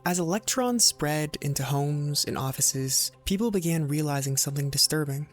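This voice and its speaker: A man with a slightly high voice delivers scripted documentary narration. He explains in a measured way, setting off short phrases for dramatic weight. Music plays softly behind him. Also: lively intonation. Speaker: video narrator. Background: background music.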